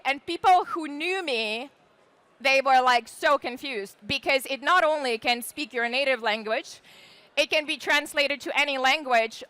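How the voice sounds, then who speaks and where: Bright high-voiced woman presenting. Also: clear, resonant tone, no echo. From Ukraine. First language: Ukrainian. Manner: chatty and enthusiastic, with casual fillers. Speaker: startup founder presenting. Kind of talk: live presentation to an audience.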